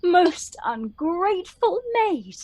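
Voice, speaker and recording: A woman acting a young heroine of a Shakespeare radio play, high-voiced and closely recorded with little room sound. She declaims verse with wounded indignation, reproachful as one betrayed by her friends. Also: sing-song, takes it slowly, darkish tone, no echo, exaggerated voice. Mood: indignant, accusing.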